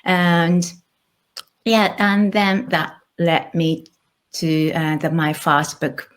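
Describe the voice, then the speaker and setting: A woman in her thirties with a Japanese accent, talking as a guest in a relaxed interview. Her voice sits a little low for a woman. She thinks aloud with small restarts. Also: drawn-out words. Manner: thoughtful, with small restarts and light laughs. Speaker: guest, author and illustrator. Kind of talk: casual interview conversation.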